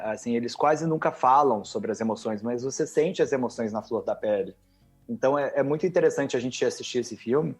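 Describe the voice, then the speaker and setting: A man's voice: mid-range voice, darkish tone. A man with a Brazilian Portuguese accent, a podcast guest talking earnestly and eagerly recommending things to watch. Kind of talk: casual podcast chat.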